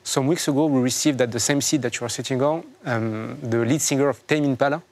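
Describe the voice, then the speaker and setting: A man hosting an interview in English, asking questions in a somewhat hesitant, halting way. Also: medium pitch, fairly even intonation, darkish tone. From France. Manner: hesitant, slightly halting phrasing. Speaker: interview host.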